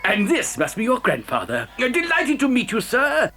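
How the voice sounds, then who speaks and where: A man's voice: very high-pitched, wildly swooping pitch, moderate pace, pushed and bright, a little hiss or hum, full character voice. A man playing an eccentric, gleeful chocolate factory owner in an audio dramatisation, effusive, stammering and theatrical. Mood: effusive welcome.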